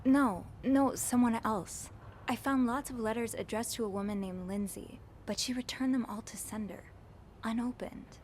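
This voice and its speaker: A woman's voice: fairly high pitch, normal speed, darkish tone, a little hiss or hum, natural voice. A woman playing a young hotel maid who speaks earnestly and a bit hesitantly, somewhat naive. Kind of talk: video game voice acting.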